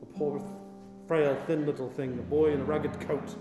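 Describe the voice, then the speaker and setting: A man's voice: on the high side, little pitch movement, moderate pace, lightly acted. A man playing an old miser in a radio play, wistful and remorseful, with an emotional, theatrical delivery that repeats words in agitation. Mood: wistful, sorrowful. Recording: echoey, long reverb with music behind.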